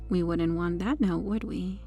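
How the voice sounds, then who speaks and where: Female actor as possessive superheroine kidnapper for ASMR roleplay: dark, relaxed tone with vocal fry, coaxing, sweetly menacing. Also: fairly low pitch, a little hiss or hum. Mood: sweetly controlling. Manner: coy, smug, coaxing, lingering over words. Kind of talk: ASMR roleplay, intimate soft delivery.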